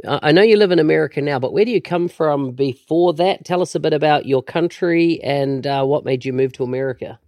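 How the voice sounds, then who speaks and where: A man's voice: on the high side, fairly quick, hardly any pauses, darkish tone, no room sound. A man hosting a podcast, reading an intro and chatting with a guest in a conversational way. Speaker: podcast host. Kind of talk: podcast interview.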